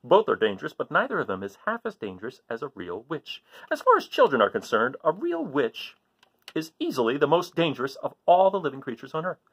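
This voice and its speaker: Male storybook reader, very lively intonation, slightly husky, theatrical and emphatic for young listeners. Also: on the high side. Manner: theatrical, emphatic, drawn-out suspenseful phrasing. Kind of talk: dramatic read-aloud of a children's book.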